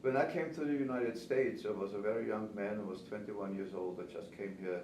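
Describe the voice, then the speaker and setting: Distant echoey recording with clear noise, older man, Austrian accent, native German speaker, conversational, reminiscing. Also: on the low side, steady tone, a little fast. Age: 70s. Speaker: pastry chef and cake instructor. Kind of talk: conversational interview answers, reminiscing. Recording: distant, roomy, noisy recording.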